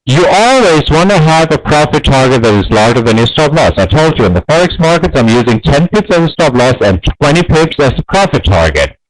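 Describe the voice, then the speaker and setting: A man's voice: fairly high pitch, very animated, brisk, few pauses, a little hiss or hum, low-quality recording. A man with a German accent teaching trading basics in a live webinar, stressing key points and repeating himself, with frequent fillers. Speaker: trading educator.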